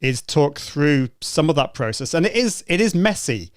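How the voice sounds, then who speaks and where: A man's voice: a little high, sing-song, normal speed, dry recording, clean studio sound. A man hosting a podcast interview, talking in an encouraging, reflective way. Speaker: podcast host.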